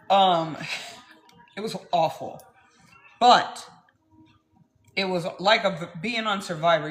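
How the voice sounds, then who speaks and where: A woman's voice: lowish voice, fairly bright tone. A woman, telling her life story to camera in a blunt, rambling way and swearing freely. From Texas, US. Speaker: vlogger.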